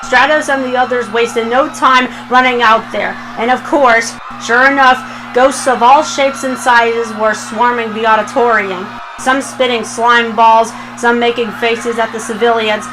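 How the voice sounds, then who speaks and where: A woman narrates a written story in a fairly high voice. She reads the scripted prose aloud as storyteller, with music clearly playing underneath. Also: fairly even intonation, a little slow, low-quality recording. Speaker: fan fiction reader, narrator.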